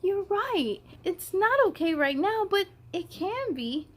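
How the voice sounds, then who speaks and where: A woman's voice: extremely high pitch, sing-song, slow pace, voice pushed up, exaggerated voice. A woman voicing a young girl in a children's storybook, earnest and plucky as she finds courage. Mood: hopeful. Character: Alma, anxious young girl finding her courage.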